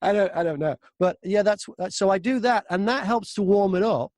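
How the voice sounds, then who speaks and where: A man talking casually as an interview guest, telling anecdotes in an informal, animated way from a tiny home studio. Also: sits high, a little fast, no room sound. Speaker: voice-over artist and audiobook narrator, interview guest. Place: very small closet converted to a studio.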